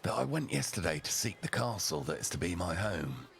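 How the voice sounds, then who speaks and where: Storybook noblewoman, played by a man: cool, deliberate, defiant, with a creaky low register. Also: big pitch swings, fairly bright tone, faint music behind, heavily acted. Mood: cold, measured. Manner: deliberate, dramatic, icy. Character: young noblewoman bride, composed, coldly defiant.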